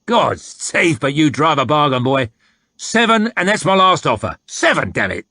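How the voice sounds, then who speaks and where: A man doing a loud, crude soldier bellowing high. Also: sing-song, moderate pace, no echo, clean studio sound, full character voice. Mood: wheedling. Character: coarse loud male slave-driving soldier, crude and haggling.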